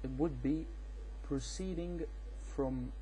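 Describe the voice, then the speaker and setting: Slow, halting man with an Egyptian accent. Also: on the high side, dark tone, soft background music, low-quality recording. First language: Arabic. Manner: halting, repetitive, insistent. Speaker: amateur video responder on religion. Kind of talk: informal explanatory rebuttal to camera.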